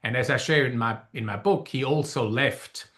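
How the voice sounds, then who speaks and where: A man's voice: mid-range voice, normal speed, a bit bright. A middle-aged man from Switzerland with a Swiss German accent, telling personal stories conversationally as a guest. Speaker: podcast guest, leadership coach.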